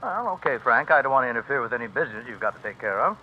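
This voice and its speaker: An actor playing a genial, easygoing publishing boss who chats in short phrases in a 1950s radio drama. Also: male voice, medium pitch, very animated, racing, lightly acted. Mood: breezy, friendly. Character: genial, breezy male publishing boss, casually delegating. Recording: muffled old radio recording, background noise.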